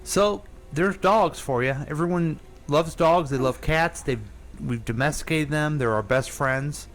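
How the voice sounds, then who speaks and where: A man's voice: on the high side, a little slow. A man hosting a casual radio talk show, telling stories in a rambling way full of fillers and restarts. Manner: rambling storyteller, thinks aloud with fillers. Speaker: radio host. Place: radio studio.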